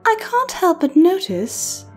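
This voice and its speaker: Sweetly predatory unicorn temptress played by a woman, high singsong delivery, mellow and honeyed, for a horror audio story over soft music. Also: very animated, slow, full character voice. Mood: sweetly coaxing. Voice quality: melodious. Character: mysterious unicorn mare, sweetly sinister, teasing temptress. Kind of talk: horror fan fiction reading.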